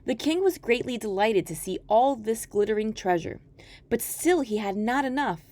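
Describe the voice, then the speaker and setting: A woman storyteller reading a folktale aloud in an expressive, scripted narration style. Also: on the high side, moderate pace.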